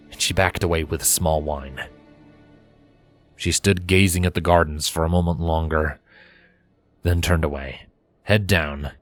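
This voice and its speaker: Low-pitched male story narrator. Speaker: fan fiction narrator.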